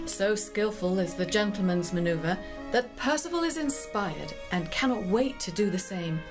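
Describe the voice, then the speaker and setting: A woman narrator telling a scripted story in a measured, poetic storytelling manner. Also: mid-range voice, lively intonation, music in the background.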